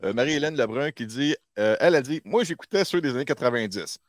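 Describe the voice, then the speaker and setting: A man's voice: medium pitch, expressive, fast, no echo, studio quality. A man with a Quebec French accent hosting a casual chat in an informal, enthusiastic way. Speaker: host.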